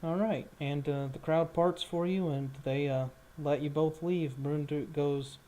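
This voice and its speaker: A man running a tabletop game, narrating off the cuff in an informal, halting way and chatting casually. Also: a little high, fairly even intonation, warm tone. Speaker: game master.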